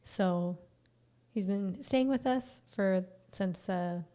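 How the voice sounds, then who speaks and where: A woman with a relaxed, mellow voice that has a slight rasp and a tired edge. She chats informally as a podcast co-host, keeping things low-key at a middling pitch. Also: slow pace. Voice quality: slightly raspy. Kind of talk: casual chat.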